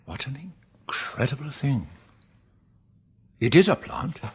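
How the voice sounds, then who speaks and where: An actor playing a cautious, courteous British managing director in a classic radio drama, formal and a little hesitant. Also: male voice, mid-range voice, animated, slow, breathy edge, exaggerated voice. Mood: astonished, cautious.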